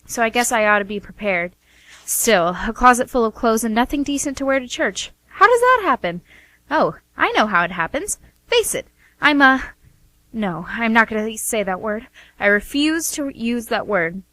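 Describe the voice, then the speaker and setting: A woman's voice: a little high, sing-song, normal speed, sharp tone, no echo. A woman acting a flustered, defensive young woman in a radio play, chatty and hesitant as she justifies herself. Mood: fretful. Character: defensive, flustered young working woman hiding her profession from family.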